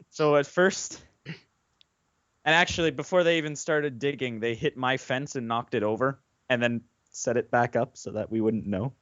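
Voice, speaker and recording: A man's voice: medium pitch, moderate pace, fairly bright tone, no echo, muffled recording. A man co-hosting a podcast, casually telling a story to friends. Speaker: podcast co-host.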